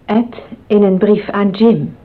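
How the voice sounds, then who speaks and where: A woman's voice: medium pitch, takes it slowly. Female narrator, scripted and solemn, mid-range voice, tinny old radio recording. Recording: narrow phone-like old radio sound.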